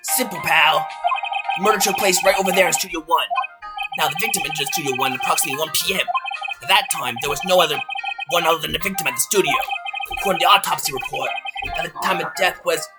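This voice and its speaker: A man voicing a gruff, folksy police detective in a game dub, blustering but easily hurt. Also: on the high side, fast talker, close to an everyday voice. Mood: matter-of-fact. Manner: blustering, informal. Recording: loud game music behind.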